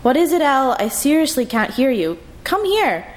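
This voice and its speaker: A chatty, oblivious young woman of twenty-two, rambling on in a breezy, high, quick voice, as a woman reads her part. She sits well above the reader's own middle pitch. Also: very animated.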